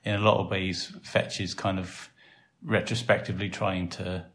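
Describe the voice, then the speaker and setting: A man with a Welsh accent, hosting a casual podcast and talking in a relaxed, rambling way with many fillers. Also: low voice, moderate pace. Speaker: podcast host and site developer.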